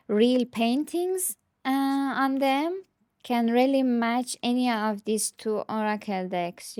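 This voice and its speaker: Slow-talking woman with a higher voice. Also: darkish tone, no room sound, clean studio sound. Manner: hesitant, thinking aloud. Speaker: tarot deck reviewer. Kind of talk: casual unscripted show-and-tell.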